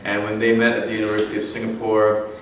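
Low-voiced man speaking in an echoey hall. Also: completely flat delivery, quick pace, far from the mic, a little hiss or hum. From Malaysia. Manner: conversational with many fillers and restarts. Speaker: guest speaker. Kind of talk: personal testimony talk.

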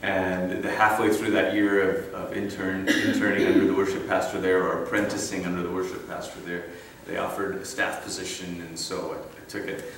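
Low-voiced man speaking in an echoey hall. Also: level tone, normal speed, creaky, few pauses, fairly bright tone, far from the mic, a little hiss or hum. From Malaysia. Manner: conversational with many fillers and restarts. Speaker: guest speaker. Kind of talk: personal testimony talk.

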